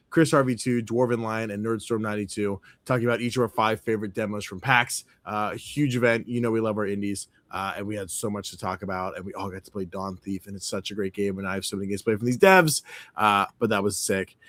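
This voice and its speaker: A man's voice: lowish voice, dry recording. A man, a player in an actual play game, casually signing off and plugging his projects with lots of "uh"s. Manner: relaxed, filler-heavy plugging. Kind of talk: casual show sign-off.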